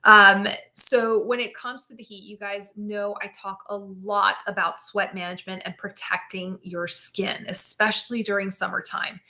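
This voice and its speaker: A middle-aged woman physical therapist hosting a live Q&A stream, explaining anatomy with enthusiasm and often checking in with "right?". She projects loudly after taking off her microphone. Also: mid-range voice, flat delivery, moderate pace, hardly any pauses. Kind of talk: live-stream Q&A, casual teaching. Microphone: built-in device mic.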